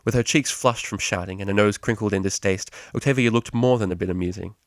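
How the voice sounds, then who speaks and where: A man's voice: lowish voice, quick pace, dry recording, studio quality. A man reading a scripted story aloud as an amateur narrator. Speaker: amateur fan-fiction reader.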